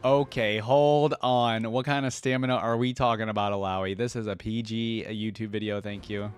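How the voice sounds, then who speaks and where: A man's voice: fairly low pitch, steady tone, moderate pace, clear, resonant tone. A man hosting a reaction video, chatting informally to viewers in casual commentary on a close mic. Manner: informal, conversational, addresses viewers. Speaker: host of a reaction channel.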